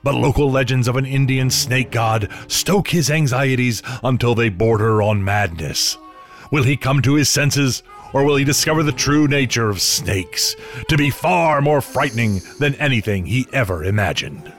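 A man's voice: medium pitch, animated, moderate pace, lightly acted. An actor playing a theatrical, earnest male host of an old-time radio show, with polished scripted delivery. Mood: ominous, dramatic. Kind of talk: 1930s radio narration and sponsor read. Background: faint music.

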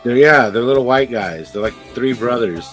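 A man's voice: medium pitch, animated, moderate pace, fairly bright tone, soft background music, poor audio. A man co-hosting a casual podcast, joking around and swearing in a laid-back way. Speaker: podcast co-host.

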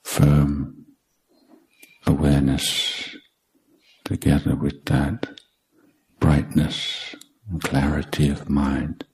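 A man guiding a meditation as a teacher, speaking in short, deliberate, measured phrases with long pauses. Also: very deep voice, monotone, a little mellow, poor audio. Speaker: meditation teacher, Buddhist monk. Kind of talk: guided meditation instruction.

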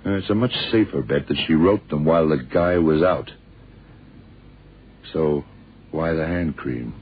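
A world-weary detective with a rumbling, gravelly bass voice. He muses deliberately in terse slang, shrewd and unhurried. It sounds like a tinny, muffled radio thriller from decades ago. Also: exaggerated voice. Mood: musing, deducing. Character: shrewd, world-weary male American detective thinking aloud. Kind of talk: 1960s-70s radio drama acting.

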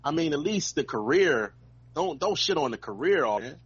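Male comedian guest, rough-edged voice, animated pitch, casual opinionated chat, slightly dull recording. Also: moderate pace, dry recording. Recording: dull, lo-fi remote-sounding audio.

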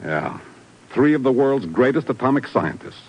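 An actor playing a gruff, worried intelligence bureau chief in a 1950s radio drama, with an urgent, emphatic delivery. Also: male voice, medium pitch, very animated, normal speed. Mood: grave.

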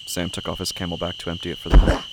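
A man reading scripted horror drama narration in a measured, literary, solemn way. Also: deep voice, completely flat delivery, background noise. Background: night crickets and insects.

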